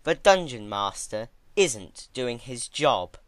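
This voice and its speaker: A man voicing a sullen, cruel young female pony student in a fan fiction reading, stammering and shouting in disbelief. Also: medium pitch, very animated, slow pace, no echo.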